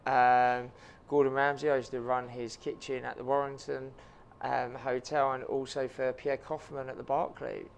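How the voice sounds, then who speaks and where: A man's voice: mid-range voice, little pitch movement, normal speed, gravelly, a little hiss or hum. A man, a chef and restaurant owner answering interview questions earnestly, with frequent ums and repeated words.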